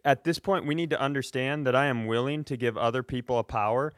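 A man's voice: mid-range voice, fairly even intonation, fast, clean tone, no room sound, studio quality. A man from Minnesota talking casually as a podcast co-host. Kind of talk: casual spoken podcast talk.

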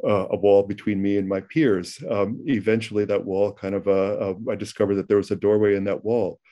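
A man's voice: lowish voice, fairly even intonation, brisk, warm tone, dull audio. A middle-aged man whose first language was Ukrainian, speaking at a panel with many ums and restarts. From United States. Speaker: novelist guest. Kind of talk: panel discussion.

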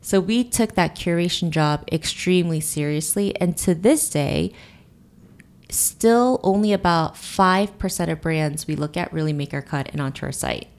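A woman's voice: fairly low pitch, normal speed, darkish tone. Earnest, fluent woman in her thirties. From United States and Korea. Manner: fluent, earnest, frequent "you know" and "really". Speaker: guest, beauty company founder. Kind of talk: podcast interview answers.

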